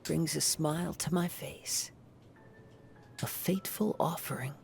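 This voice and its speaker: A man's voice: a little high, a little slow, gravelly, a little hiss or hum. A man voicing a serene, poetic young wanderer in a video game. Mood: serene, contented. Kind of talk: video game character voice lines.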